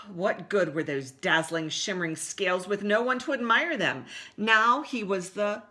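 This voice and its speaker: A woman's voice: mid-range voice, unhurried. A woman hosting story time, reading a picture book expressively to young children and addressing them directly. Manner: animated, asks listeners questions. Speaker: library story time host and reader.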